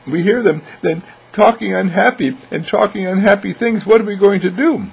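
A man's voice: sits high, moderate pace, gravelly, breathy edge, voice pushed up, heavily acted. A man voicing an anxious seven-year-old girl in a bedtime story, questioning and pleading. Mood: worried.

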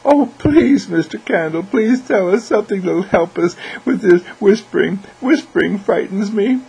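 Man putting on a high, frightened little girl. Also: animated, normal speed, a little mellow, slightly rough, full character voice. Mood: pleading. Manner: anxious, questioning, pleading. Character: frightened, pleading seven-year-old girl twin.

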